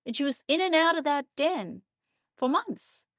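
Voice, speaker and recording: A woman's voice: sits high, animated, moderate pace, fairly bright tone, no echo, studio quality. A woman speaking as an interview guest, explaining things in a thoughtful, descriptive and earnest conversational way, repeating points for emphasis. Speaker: interview guest, biologist and writer.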